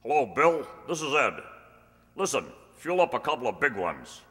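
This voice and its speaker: A pompous, hawkish general, acted by a man, blustering with a bright, forceful voice and lively swings of pitch. It is radio-play comedy with a long hall reverb. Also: moderate pace. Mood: businesslike. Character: pompous, hawkish, suspicious male military general. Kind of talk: comic science-fiction radio play acting.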